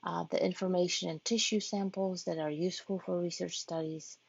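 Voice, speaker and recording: A low-voiced woman from Poland with a heavily gravelly, husky tone, presenting her research to patient families. She speaks in a measured, earnest way and pauses now and then to find a word. The audio is dull and lo-fi, as from an online talk. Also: steady tone, no room sound. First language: Polish. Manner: measured, earnest, occasional hesitations. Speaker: physician-scientist giving a research talk.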